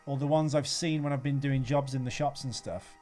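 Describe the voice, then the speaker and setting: A man's voice: medium pitch, steady tone, fairly quick, a little mellow, soft background music. A man co-hosting a podcast, chatting casually about a film with a teasing, sweary manner. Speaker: podcast host.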